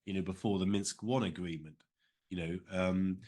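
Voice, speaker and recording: British male, lowish register, unscripted interview guest, hesitant phrasing. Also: fairly even intonation, measured pace, a little mellow. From United Kingdom. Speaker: interview guest, former diplomat. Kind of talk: spontaneous interview talk.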